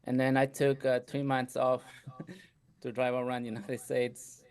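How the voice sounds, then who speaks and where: A middle-aged man from Ecuador whose speech carries a light Ecuadorian Spanish accent. He tells his story in a loose, conversational way and often drops in "you know" and short laughs. His voice sits at an ordinary middle pitch. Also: level tone, measured pace, darkish tone. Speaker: guest entrepreneur. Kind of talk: casual interview storytelling.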